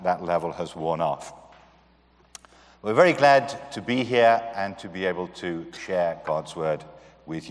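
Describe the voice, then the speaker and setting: British man preaching in a reverberant church. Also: deep voice. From United Kingdom. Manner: earnest, rhetorical questions to the congregation. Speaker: guest preacher. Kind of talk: sermon. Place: church sanctuary. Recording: long reverb of a church hall.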